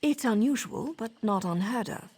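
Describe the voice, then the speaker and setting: An actress playing a poised professional assassin and mentor in a video game, with a wry, measured, self-assured delivery. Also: female voice, fairly high pitch, animated, darkish tone, breathy edge. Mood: cool, explaining.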